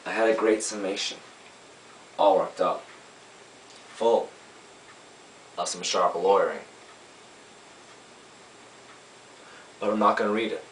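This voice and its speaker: A man playing a young, earnest defense lawyer, pleading to a jury in an emphatic, oratorical monologue with deliberate pauses. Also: lowish voice, steady tone, close to an everyday voice. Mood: humble, apologetic. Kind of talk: dramatic courtroom monologue. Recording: roomy, distant mic, faint hiss.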